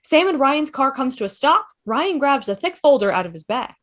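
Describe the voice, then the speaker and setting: A woman's voice: high-pitched, big pitch swings, normal speed. A woman reading scripted stage directions as the narrator of a table read over a video call.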